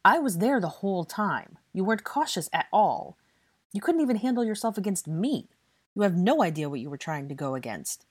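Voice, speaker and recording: A woman's voice: mid-range voice, big pitch swings, a little fast, breathy edge, dry recording, clean studio sound, voice pushed up. A woman voicing a bitter, angry young man who scolds heatedly in an audiobook fantasy story. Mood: scolding. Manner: heated, scolding, British swearing. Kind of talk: audiobook character dialogue.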